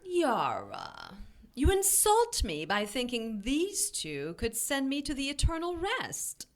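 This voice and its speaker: A woman's voice: high-pitched, wildly swooping pitch, takes it slowly, full character voice. A woman playing a haughty, menacing immortal demoness in an audio drama, with an imperious, taunting delivery. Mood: cold menace.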